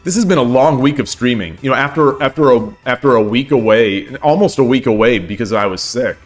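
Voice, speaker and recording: A man with a hoarse voice streaming shogi, talking casually and thinking aloud as he looks over his games, often repeating his phrases. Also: mid-range voice, fairly quick, faint music behind. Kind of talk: casual game commentary.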